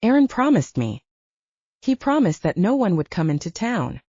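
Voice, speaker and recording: A woman narrator performs a brazen werewolf temptress from a romance audiobook. She is sultry, taunting and defiant, with lively intonation in a dry recording. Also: mid-range voice, moderate pace, breathy edge, no room sound, lo-fi sound.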